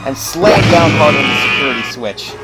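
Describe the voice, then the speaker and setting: A man's voice: medium pitch, animated, normal speed, over loud music, poor audio. A man giving scripted narration of a horror story as the narrator.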